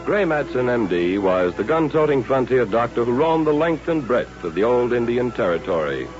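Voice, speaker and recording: A man's voice: mid-range voice, big pitch swings, normal speed. An American man announcing an old radio western in a grand, dramatic, scripted style. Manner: grand, stentorian. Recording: dull vintage radio recording, music behind.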